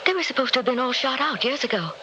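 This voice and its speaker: An actress playing an anguished, pleading widow in a 1960s radio drama, speaking with emotional, breathy urgency. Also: female voice, high-pitched, expressive, rapid-fire, dull audio. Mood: frightened, urgent. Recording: noisy old radio recording.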